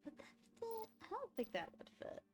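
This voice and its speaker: A woman's voice: very high voice, wildly swooping pitch, normal speed, soft background music. A woman game streamer giving casual live commentary, musing aloud to herself as she plays.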